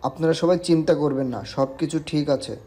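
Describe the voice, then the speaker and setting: Actor as calm mellow-voiced senior doctor. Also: male voice, a little high, normal speed, breathy edge, soft background music. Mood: reassuring. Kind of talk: Bengali audio story acting.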